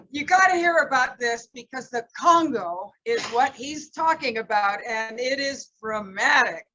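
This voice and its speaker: A woman's voice: a little high, expressive, a little slow, bright, forceful tone, muffled recording. A woman acting as emcee at a luncheon, enthusiastically promoting the classes and calling up the next speaker. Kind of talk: announcing at a luncheon.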